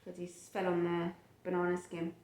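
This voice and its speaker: A woman reading a picture book aloud to a child and chatting about it, with expressive, drawn-out emphasis on phrases. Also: on the low side, a little hiss or hum. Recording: roomy, echoey home recording.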